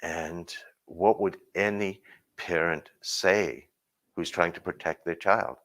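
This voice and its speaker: A man from Burma telling his personal story in a reflective way, pausing and restarting as he talks. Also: low-pitched, fairly even intonation, fairly bright tone. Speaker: speaker sharing his story.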